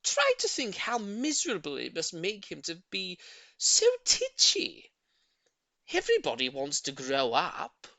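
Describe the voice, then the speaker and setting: A man voicing a sweet, gushing middle-aged lady who dotes on her pet, with drawn-out cooing words, in an audiobook. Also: high-pitched, huge pitch swings, bright, forceful tone, no echo, voice pushed up, full character voice. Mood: upset, pleading. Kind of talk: audiobook character voice.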